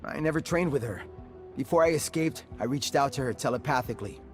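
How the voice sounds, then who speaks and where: Actor doing grave haunted psionic guy, middle pitch, halting, soft music behind, game drama. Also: expressive, natural voice. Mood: somber, haunted. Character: somber, haunted male psionic former captive. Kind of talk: dramatic video game acting.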